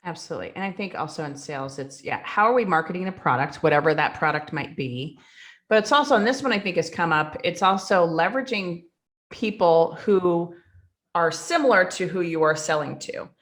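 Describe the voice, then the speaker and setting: A woman's voice: on the low side, expressive, a little fast, fairly bright tone. A woman co-hosting a podcast who explains points with examples and moves the talk from topic to topic. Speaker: podcast co-host. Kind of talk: casual conversation.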